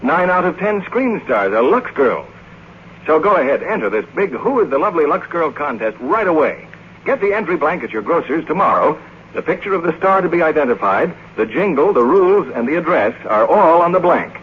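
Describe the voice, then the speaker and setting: A man's voice: fairly high pitch, big pitch swings, fairly quick. An American man announcing a 1950s radio commercial in a polished, salesmanlike manner. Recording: tinny, noisy vintage radio broadcast.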